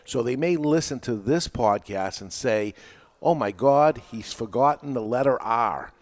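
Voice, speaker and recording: A man's voice: mid-range voice, expressive, measured pace. A middle-aged man with a New England accent, hosting a podcast in a casual, chatty way, repeating words as he talks. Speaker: podcast host.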